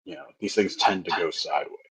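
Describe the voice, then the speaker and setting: A man's voice: low-pitched, fast talker, breathy edge. A man speaking as a player in a casual tabletop game, offhand and joking.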